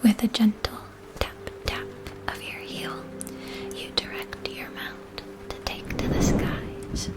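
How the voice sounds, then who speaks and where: A woman whispering gently and unhurriedly, narrating a scripted ASMR fantasy roleplay in a breathy, unvoiced voice. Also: takes it slowly.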